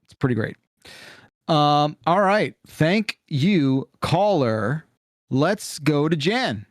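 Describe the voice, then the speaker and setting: A man's voice: fairly high pitch, lively intonation, slow pace, no echo, clean studio sound. A man hosting a casual call-in podcast, talking in a rambling, emphatic way with some swearing. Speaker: podcast host.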